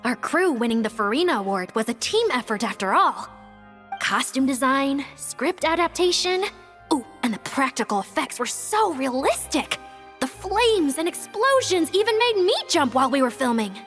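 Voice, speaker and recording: A woman's voice: sits high, normal speed, big-room sound, faint music behind. A woman playing a bubbly, theatrical young film star with an exuberant manner in an English anime game. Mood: excited. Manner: exuberant, expressive. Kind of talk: English anime game dub, scripted cutscene acting.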